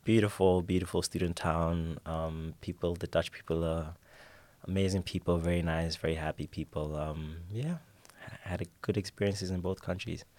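A man's voice: sits low, normal speed, dry recording. A young man talking easily as a guest in an interview, with plenty of ums and restarts. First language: English. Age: young adult. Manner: relaxed, with many fillers and restarts. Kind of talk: casual interview answers. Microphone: studio mic at a table.

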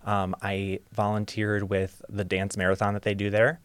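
A young man from Ohio speaking as a podcast guest, open and reflective, sometimes halting. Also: fairly low pitch, monotone, a bit bright, clear, resonant tone, no echo. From Ohio, USA. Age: young adult. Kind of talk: casual interview storytelling.

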